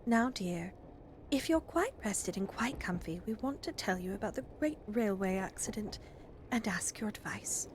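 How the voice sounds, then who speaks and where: Genteel storybook mother, gentle yet firm and self-possessed, done by a female reader. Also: mid-range voice, lively intonation, normal speed, slight background noise, lightly acted. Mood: gentle, coaxing. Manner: soft, genteel, controlled. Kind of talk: storybook character reading.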